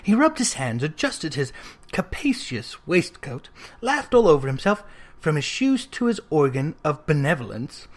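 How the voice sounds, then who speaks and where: A reader giving an expressive, dramatic narration of a classic story, leaning into lists with emphasis. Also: mid-range voice, normal speed. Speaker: audiobook reader.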